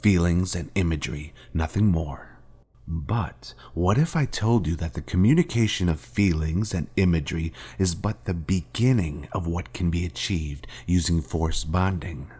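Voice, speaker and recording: A man playing a grave former Jedi Master in a video game, speaking in a solemn, deliberate, rhetorical way to his fallen pupil. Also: deep voice, a little mellow, slightly rough. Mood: grave, probing. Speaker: game character. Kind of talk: scripted dramatic video game dialogue.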